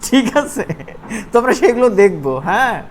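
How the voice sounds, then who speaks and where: A man with a regional Bangladeshi Bengali accent giving an informal software tutorial, conversational and often checking that his students follow. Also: extremely high pitch, animated, a little fast. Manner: conversational, often asks check-back questions. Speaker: animation course instructor. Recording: noisy room, clearly audible background noise.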